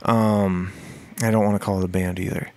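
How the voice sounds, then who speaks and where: A man with a deep voice, a musician guest in a casual podcast interview, talking in a rambling way with many fillers and restarts. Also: level tone, normal speed, darkish tone, smooth, dry recording. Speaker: guest musician, singer and guitarist.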